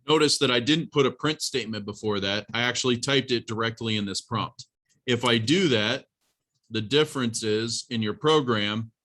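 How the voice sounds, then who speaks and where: A man's voice: mid-range voice, fairly bright tone. A man teaching an informal college programming class, talking through a live demonstration in a plain-spoken, instructive way and addressing students directly.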